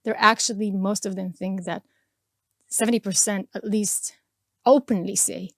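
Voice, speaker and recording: A woman's voice: medium pitch, fairly even intonation, moderate pace, gravelly, fairly bright tone, dry recording, studio quality. A woman from Israel, a native Arabic speaker, answering interview questions in English in an earnest way and often repeating words as she thinks. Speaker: interview guest. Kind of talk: sit-down interview.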